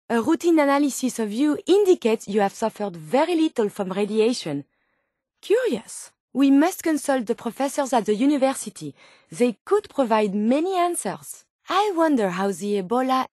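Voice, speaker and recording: A female robot scientist from a video game with a French accent. Her voice sits fairly high and sounds close and dry. She speaks formally and precisely, earnest and politely curious. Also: a little mellow, dry recording. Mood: inquisitive, helpful. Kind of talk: video game companion voice lines.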